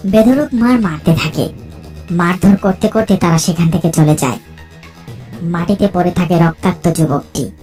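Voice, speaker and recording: A woman's voice: on the low side, normal speed, warm tone. Woman narrating a cartoon horror story. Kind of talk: dramatic scripted story narration. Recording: faint music behind the voice.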